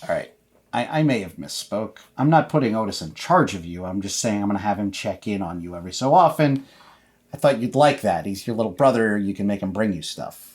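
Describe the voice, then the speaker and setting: A man's voice: lowish voice, expressive, a little fast, slightly rough. A man playing a worried, stern but loving father of a teenage girl in a tabletop game, reasoning hesitantly. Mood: placating.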